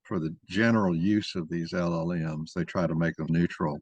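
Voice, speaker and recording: A man with a very deep voice leads an informal discussion. He rambles and halts as he thinks aloud. The sound is very dry, with no room around it. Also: steady tone, normal speed, husky, no room sound, highs cut off. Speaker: moderator of an online mock trial.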